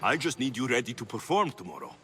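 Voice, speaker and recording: A man's voice: medium pitch, sing-song, fast talker, fairly bright tone, slight background noise. A man playing a determined ancient Greek mercenary in a video game cutscene, blunt and no-nonsense. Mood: impatient. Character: gruff, determined ancient Greek mercenary hero, forthright and protective.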